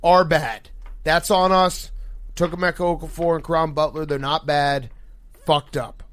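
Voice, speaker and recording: Higher-pitched man hosting a podcast. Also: fairly even intonation, normal speed, fairly bright tone. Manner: profane, joking. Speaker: podcast host. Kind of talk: casual sports comedy podcast banter.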